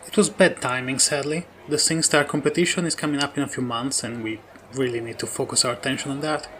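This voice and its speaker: Man playing mid-pitched pop idol. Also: steady tone, fast, fairly bright tone, slight background noise, natural voice. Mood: polite. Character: excitable idol group member. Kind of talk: audio drama interview. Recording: crowd murmur behind.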